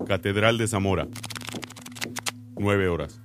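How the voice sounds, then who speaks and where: A man's voice: fairly low pitch, takes it slowly, music in the background. A man tersely announcing scenes from a script for a radio drama, over noisy ambience.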